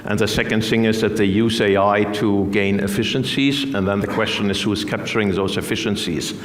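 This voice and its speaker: Low-voiced man in an echoey hall. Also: fairly even intonation. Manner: measured, with frequent fillers and restarts. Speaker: fund manager on a panel. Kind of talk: unscripted panel answer. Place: conference stage before an audience. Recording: echoey hall from a stage mic.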